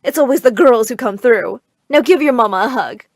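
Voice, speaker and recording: A woman's voice: a little high, expressive, brisk, no room sound, studio quality. A woman voicing a middle-aged mother who dotes on her daughter and screeches bossy, melodramatic demands. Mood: shrill. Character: shrill doting overbearing mother, middle-aged.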